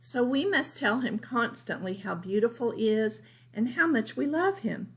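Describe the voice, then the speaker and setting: A woman's voice: fairly high pitch, expressive, normal speed, pitched above the performer's own voice. A woman voicing a doting, patient storybook mother in a gushing, emphatic way. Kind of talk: storybook read-aloud.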